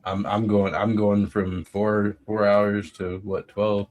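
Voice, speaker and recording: A man chatting casually as a podcast panelist, halting and conversational, often rephrasing as he goes. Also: fairly low pitch, flat delivery, a little mellow. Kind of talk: casual chat.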